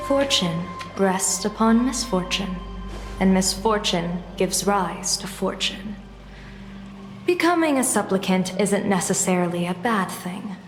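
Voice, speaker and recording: An actress plays a shrewd woman strategist in a video game, calm and formal. She talks slowly and with composure at a medium pitch. The voice echoes as in a hall, with music behind it. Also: lightly acted. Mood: calm, persuasive.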